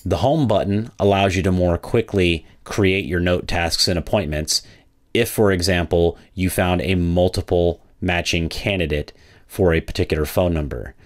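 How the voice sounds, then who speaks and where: Deep voiced guy doing a software walkthrough, explains stuff step by step. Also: moderate pace. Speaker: software demo presenter. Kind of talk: product walkthrough narration.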